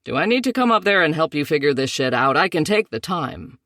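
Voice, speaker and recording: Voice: medium pitch, very animated, a bit bright, no room sound, studio quality. A narrator voicing an impatient adult brother who pushes to help. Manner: impatient, fast.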